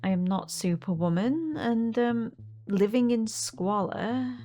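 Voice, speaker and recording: Woman, unhurried slow pace, mid pitch, faint background music. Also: warm tone.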